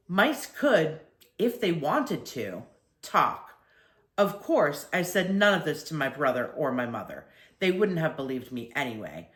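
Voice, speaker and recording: An older woman with a low voice reads a children's story aloud. Her intonation is very animated, with deliberate phrasing and stretched emphasis on key words. The recording sounds roomy and echoey. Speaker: grandmother reading aloud. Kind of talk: expressive children's story reading.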